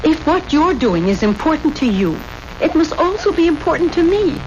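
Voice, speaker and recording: A woman's voice: sits high, very animated, normal speed. An actress playing an earnest, pleading village wife and mother in a 1970s radio drama, with an intense manner. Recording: muffled, noisy old radio recording.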